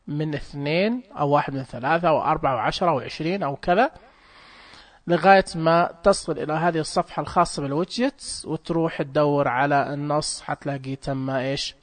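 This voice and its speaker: A man's voice: a little high, dry recording. Chatty man explaining an app. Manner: chatty, explains step by step with hesitations. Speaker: app tutorial presenter. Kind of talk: casual screen-recorded app walkthrough.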